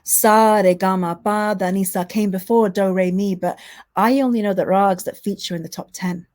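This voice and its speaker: A woman poet, sitting at a mid pitch. Between poems she talks to her online audience in a halting way, full of "um"s. When she reads, her delivery turns rhythmic and impassioned. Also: fairly even intonation, a little mellow. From Manchester, England. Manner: hesitant, filler-heavy chat between poems. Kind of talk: spoken word poetry reading with chat to an online audience.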